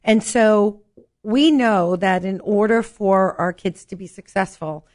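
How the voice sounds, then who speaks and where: A woman's voice: mid-range voice, unhurried, a little mellow. Upbeat Brooklyn woman explaining things. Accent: New York. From New York, Brooklyn. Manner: upbeat, explanatory, restarts often. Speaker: nonprofit guest. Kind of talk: casual chat.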